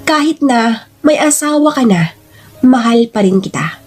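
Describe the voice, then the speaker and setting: A woman's voice: fairly high pitch, expressive, a little slow, darkish tone, slightly rough, soft background music. A woman voicing a lovesick single man, a pushy former boyfriend pleading emotionally in a radio-style story drama. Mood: pleading, ardent.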